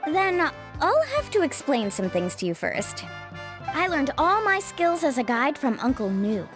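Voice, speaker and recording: A woman voicing an earnest young female guide who carefully explains her duties. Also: sits high.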